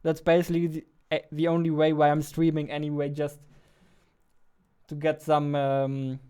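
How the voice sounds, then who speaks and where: A man in his twenties, a non-native English speaker, thinking aloud in casual, unhurried live coding commentary. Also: fairly high pitch, monotone, warm tone, clear, resonant tone. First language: not English. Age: 20s. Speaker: indie game developer, streamer. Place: small indoor room at a computer.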